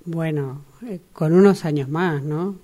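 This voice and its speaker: A woman's voice: sits low, unhurried, mellow. An Argentine woman acting in a radio drama as an awkward, hesitant woman evasively humouring an old friend. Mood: awkward, polite. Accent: Argentine, Rioplatense.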